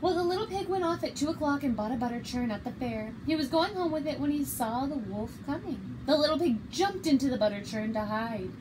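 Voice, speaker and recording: A woman's voice: high-pitched, animated, fairly quick, few pauses, noisy background. A woman in her twenties reading a children's picture book aloud as a storyteller. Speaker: story reader. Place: small bare indoor room.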